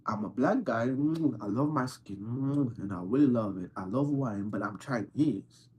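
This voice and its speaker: A man's voice: medium pitch, moderate pace, a little mellow, breathy edge, muffled recording. A man hosting a casual livestream, answering viewers' questions in an insistent, playful way and repeating himself for emphasis. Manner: playful, teasing. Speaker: livestream host answering chat.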